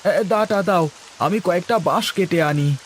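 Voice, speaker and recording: A man's voice: high-pitched, a little slow, a little hiss or hum. A man voicing a kindly, practical village husband in a moral-story cartoon, speaking earnestly and often calling out. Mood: busy, concerned.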